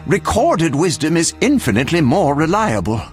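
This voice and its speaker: High-pitched, pompous eccentric alchemist. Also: male voice, very animated, normal speed, soft background music. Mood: pompous, eager. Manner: pompous, fussy, theatrical. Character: eccentric, self-important male alchemist scholar, excitable and obsessive. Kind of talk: video game voice acting.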